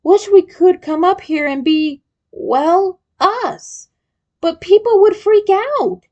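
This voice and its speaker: A sweet, energetic turtle boy played by a woman speaks in a very high voice. He is playful and affectionate, a young storybook hero full of warmth for his friend. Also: takes it slowly, dull audio. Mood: wistful. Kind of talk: story character dialogue.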